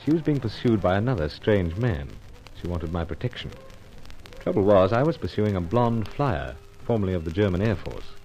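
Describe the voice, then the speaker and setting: A man's voice: low voice, sing-song, moderate pace, a little mellow. A man playing a cool, understated secret agent with clipped speech, in an old radio serial style. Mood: dry, narrating. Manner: clipped, understated, drops words. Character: cool, clipped British male secret agent, narrating his case. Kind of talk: old-time radio detective serial acting. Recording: noisy vintage radio recording.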